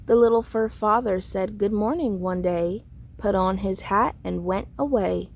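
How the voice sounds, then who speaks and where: A woman narrates a children's picture book at a slow, unhurried pace. Her delivery is expressive and deliberate, with a noticeable creak in the voice. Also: mid-range voice. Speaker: storybook reader. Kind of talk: read-aloud children's story narration.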